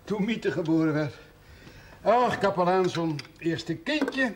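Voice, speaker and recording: An actor playing an older provincial father in a television drama, genial but blunt, speaking deliberately and pointedly. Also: high voice, expressive, background noise. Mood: jovial, nervous. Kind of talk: 1970s Dutch television drama acting.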